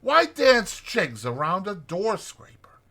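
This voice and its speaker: Male performer as little storybook mole, plaintive, complaining, high-pitched, rough-edged. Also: huge pitch swings, pushed and bright, heavily acted. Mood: unimpressed, sulky. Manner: complaining, drawn-out. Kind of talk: dramatized audiobook character voice.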